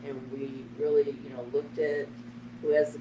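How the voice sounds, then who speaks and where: A woman's voice: sits low, little pitch movement, measured pace, dull audio. A woman giving a frank, critical personal account as a participant in a group discussion. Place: echoey room, away from the microphone. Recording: very noisy, echoey, distant microphone.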